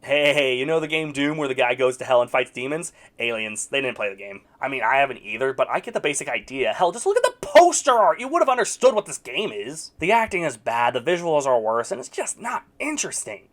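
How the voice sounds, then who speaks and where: A man's voice: mid-range voice, big pitch swings, fairly quick, few pauses, a bit bright. A man reviewing video game movies in a scripted, casual voice-over, wry and sarcastic with mock-exasperated outbursts.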